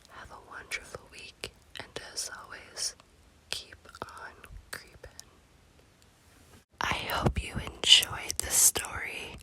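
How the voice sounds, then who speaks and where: Slow whispering woman, ASMR storyteller. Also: rough recording. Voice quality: breathy unvoiced whisper.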